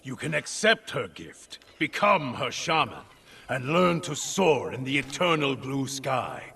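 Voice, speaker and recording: A man's voice: medium pitch, very animated, a little slow, creaky, pushed and bright, husky, exaggerated voice. An actor playing a zealous, menacing warrior shaman in a video game, with solemn, threatening delivery. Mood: solemn threat. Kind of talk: video game villain acting.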